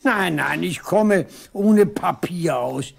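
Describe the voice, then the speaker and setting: Creaky, frail old father. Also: high voice, lively intonation, heavily acted. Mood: indignant, hurt. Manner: halting, repeating himself. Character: frail, wistful old father awaiting his son. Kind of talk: 1970s East German radio play acting.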